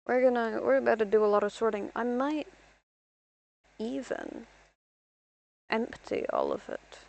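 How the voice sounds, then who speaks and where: A woman's voice: fairly high pitch, unhurried, gravelly, darkish tone, muffled recording. A young woman from Ireland rambling through casual gameplay commentary as a streamer, self-deprecating and talking to herself.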